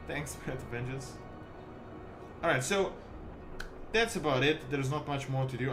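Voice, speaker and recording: A man from Serbia, chatting casually to viewers as a game streamer in a halting, rambling way peppered with "like". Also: mid-range voice. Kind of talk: casual livestream chat with viewers. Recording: music behind the voice. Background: music.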